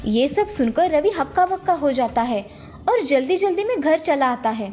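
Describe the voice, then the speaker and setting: A woman's voice: high voice, animated, normal speed, a little mellow, faint music behind. A woman narrating a scripted children's moral story.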